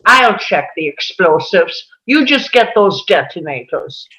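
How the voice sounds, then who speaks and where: A woman reading the part of a cool, mocking male terrorist leader at a table read, with a drawn-out, teasing delivery. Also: fairly low pitch, very animated, a bit bright, poor audio. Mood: calm, commanding.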